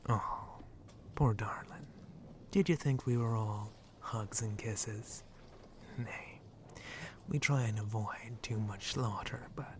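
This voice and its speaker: A man voices a lowborn shark-monster pirate, flirty and reassuring, in a fairly deep voice. He drawls slowly in rustic pirate talk, often sinking into a breathy whisper. It is an intimate ASMR roleplay with light background noise. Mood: instructive, wary. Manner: rustic pirate dialect, hesitant drawl, teasing.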